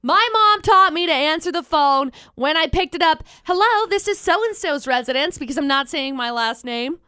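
A woman's voice: high-pitched, expressive, normal speed, sharp tone, no echo, clean studio sound. A woman co-hosting a radio show in casual debate banter, emphatically repeating her points for stress.

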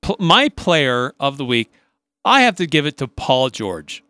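A man's voice: mid-range voice, very animated, a little slow, pushed and bright, no room sound. A man with an American accent co-hosting a sports talk radio show, giving analysis and chat.

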